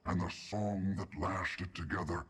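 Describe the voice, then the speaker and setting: An actor voicing an ancient, solemn, all-seeing being in a fantasy game, gravelly and portentous, with deliberate delivery. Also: male voice, deep voice, steady tone, a bit bright, full character voice. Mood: solemn, foreboding. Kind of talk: scripted fantasy game dialogue. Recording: game audio over background music.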